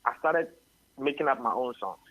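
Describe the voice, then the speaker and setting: A Ghanaian singer-songwriter answering radio interview questions at length, often adding "you know". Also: male voice, mid-range voice, animated, moderate pace, dry recording, highs cut off. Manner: rambling, tags sentences with "you know". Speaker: singer-songwriter and label head.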